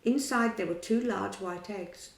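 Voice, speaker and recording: A woman narrates a classic children's story at an unhurried, expressive pace. Her voice carries a gravelly grain. The recording rings with room echo. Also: medium pitch. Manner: slow, expressive reading. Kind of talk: storybook read-aloud.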